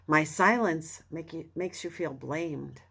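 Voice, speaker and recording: A woman reading a self-help book aloud as narrator, earnest and deliberate, with expressive emphasis and pauses. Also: low-pitched, creaky, husky. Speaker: audiobook reader.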